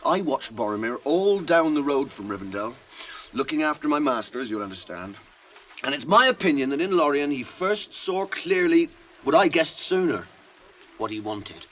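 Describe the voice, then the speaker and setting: Humble servant, fairly high voice, homely rustic phrasing, deferential, loyal, protective, 1980s radio play, noisy backdrop. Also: male voice, sing-song, moderate pace, a bit bright. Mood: earnest, respectful. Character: loyal, plain-spoken, humble manservant, protective of his master. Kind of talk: 1980s radio drama acting. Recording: radio drama with clear background noise.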